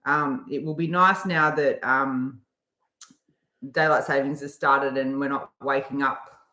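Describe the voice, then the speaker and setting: A woman co-hosting a casual live stream, relaxed, with lots of "um" pauses as she reads out comments. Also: very deep voice, roomy sound, poor audio.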